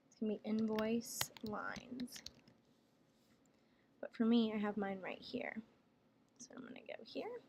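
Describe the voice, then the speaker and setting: A woman working as a software trainer, explaining each step plainly in a casual screen tutorial. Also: fairly high pitch, fairly even intonation, a little slow, long pauses, highs cut off. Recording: clear background noise, room ambience.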